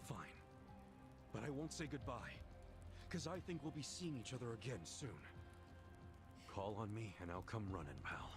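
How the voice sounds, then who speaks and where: An actor playing a big-hearted, stubbornly loyal middle-aged ex-convict in a video game drama, speaking in an earnest, informal, rough-edged way. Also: male voice, medium pitch, expressive, normal speed, pauses often, close to an everyday voice. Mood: resolute. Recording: game music behind the voice.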